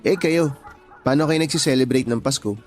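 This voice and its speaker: A man playing an earnest, friendly young coworker in gentle, conversational radio drama dialogue. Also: mid-range voice, moderate pace, soft background music, natural voice. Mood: concerned.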